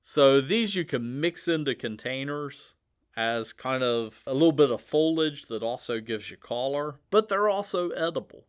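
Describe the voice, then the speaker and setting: A man's voice: mid-range voice, lively intonation, normal speed, clean tone. Easygoing man hosting a garden podcast. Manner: chatty and easygoing, laughs at his own asides. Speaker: host. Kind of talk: casual podcast chat about gardening.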